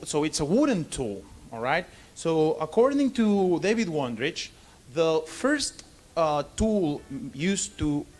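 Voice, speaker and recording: A man from Argentina with a Spanish accent, giving an informal lecture in halting English and often checking in with "okay?". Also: fairly high pitch. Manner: halting non-native English, frequent "okay?" check-ins. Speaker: bartender giving a seminar. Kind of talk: informal lecture to a live audience.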